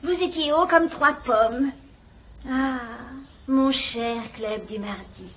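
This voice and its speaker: An actress playing a shrewd, gentle elderly spinster detective in a dubbed drama, speaking in a wry, quietly probing way. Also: female voice, sits high, animated, slow pace, heavily acted. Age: older. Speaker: amateur detective. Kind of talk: dubbed radio-style drama acting.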